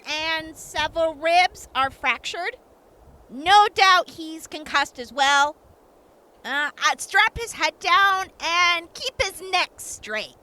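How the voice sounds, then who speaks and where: Very high, sharp wasteland doctor played by a woman. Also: full character voice. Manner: sarcastic, blunt, deliberate. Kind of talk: audio drama acting.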